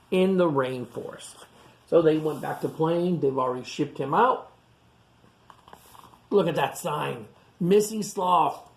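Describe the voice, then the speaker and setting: A woman reading a picture book aloud to children, chatting to them in animated asides and questions. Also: low voice. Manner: animated asides to young listeners, asking questions. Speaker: storytime reader.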